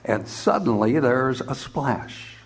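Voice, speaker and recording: Grave slow-talking male narrator. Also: medium pitch, big pitch swings, creaky, heavy background noise. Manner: grave, dramatic. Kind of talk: scripted radio drama narration.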